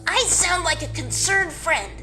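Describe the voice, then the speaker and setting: A woman's voice: very high-pitched, lively intonation, a little slow, over music. A woman voicing a hesitant, earnest young male fox in a fan audio drama. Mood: concerned.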